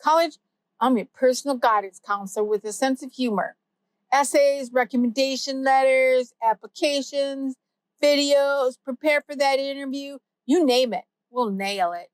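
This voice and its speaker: A quick, jokey AI sidekick with a bright, high voice, firing off punchlines in a playful rush, as a woman puts it on. The sound is dry and close. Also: no echo, natural voice, rough recording. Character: fast, snappy wisecracking comic AI sidekick.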